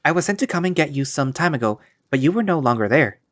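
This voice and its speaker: A prim male servant of a royal court delivers his summons in a curt, proper manner, as a man puts him on. His voice sits noticeably higher than the reader's own. It suits a storybook read aloud. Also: lively intonation, fast talker, a bit bright. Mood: formal. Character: stiff, formal male castle servant.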